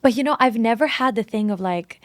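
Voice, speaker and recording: A woman's voice: a little high, fairly quick, no room sound, clean studio sound. A woman from Sweden, talking casually and expressively as an interview guest. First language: Swedish. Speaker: interview guest, singer-songwriter.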